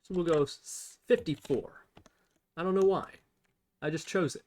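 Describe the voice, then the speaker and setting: A man's voice: on the high side, normal speed, a little mellow. A man hosting an unscripted programming tutorial, thinking aloud step by step as he types. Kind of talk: unscripted screen-recorded coding tutorial.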